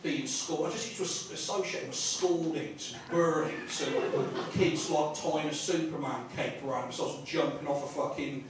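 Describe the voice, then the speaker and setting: A man with an English accent doing rambling, sweary stand-up, halting and repeating himself as he digresses in front of a live audience. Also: on the high side, crowd noise. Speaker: stand-up comedian. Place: small live comedy venue in a pub. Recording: roomy, noisy, distant live club recording. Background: audience laughter and room noise.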